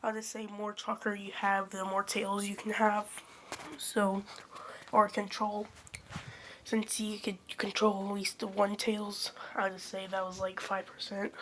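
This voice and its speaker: A woman's voice: medium pitch, completely flat delivery, a little slow, background noise. A young woman hosting her channel, rambling through a casual fan theory with lots of fillers and self-corrections while she is losing her voice from being sick. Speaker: channel host.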